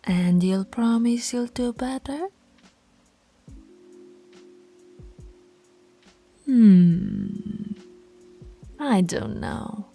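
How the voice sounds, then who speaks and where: A woman playing a slow, creaky, dominant alpha werewolf woman. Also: fairly high pitch, animated, dark tone, soft background music, exaggerated voice. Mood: playfully skeptical. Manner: slow, commanding, teasing, often dropping to a whisper. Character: dominant teasing female alpha werewolf pack leader. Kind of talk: ASMR roleplay voice acting.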